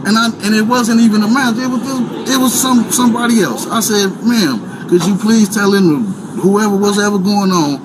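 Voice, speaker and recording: A man's voice: high voice, moderate pace, few pauses, noisy background. A man in his forties talking heatedly with police outdoors, rambling and restarting as he explains himself in slang. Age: 40s.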